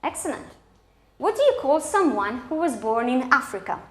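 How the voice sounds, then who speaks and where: A woman's voice: high-pitched, big pitch swings, moderate pace. A woman with a Romanian accent presenting a scripted English lesson for schoolchildren, enunciating each example in a didactic way. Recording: echoey, roomy sound.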